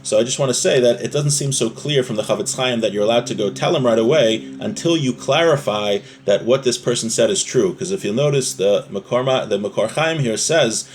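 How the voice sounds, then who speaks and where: Man, religious teacher, medium pitch, explanatory lesson style, conversational, rephrasing, rhetorical questions. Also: brisk, hardly any pauses, a little hiss or hum. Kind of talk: explanatory religious lesson reading and translating a text.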